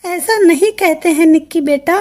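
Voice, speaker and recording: Very high-pitched coaxing mother played by a woman. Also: moderate pace. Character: coaxing mother figure of the bride, middle-aged woman. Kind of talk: dramatised Hindi animated story. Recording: loud music under the voice. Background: music.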